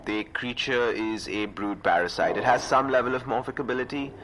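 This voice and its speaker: An actor playing an earnest, businesslike outpost official in a sci-fi audio drama, speaking in a measured, explanatory way. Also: male voice, medium pitch, steady tone, noisy background, close to an everyday voice. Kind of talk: audio fiction acting.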